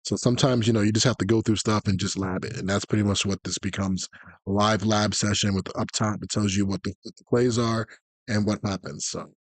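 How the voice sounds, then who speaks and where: A man giving a live, conversational tutorial as a gaming coach, explaining tactics and often checking in with a quick "okay?" or "right?". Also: fairly low pitch, no echo. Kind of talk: live explanatory commentary on football video game tactics.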